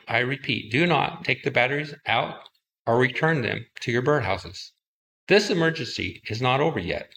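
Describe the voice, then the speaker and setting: A man's voice: mid-range voice, creaky, a bit bright, poor audio. A man giving formal, procedural radio announcements as a dispatcher in a scripted drill.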